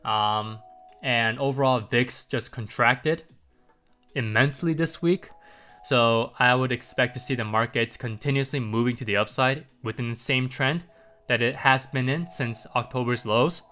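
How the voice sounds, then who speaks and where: A man's voice: mid-range voice, measured pace, bright, forceful tone, soft background music. A man hosting a market livestream, talking loosely to viewers with lots of "um" and "right?", his voice a little stuffy from congestion. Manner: loose and chatty, many ums and rhetorical "right?", light chuckles. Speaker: market commentary livestream host. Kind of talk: casual livestream talk to viewers.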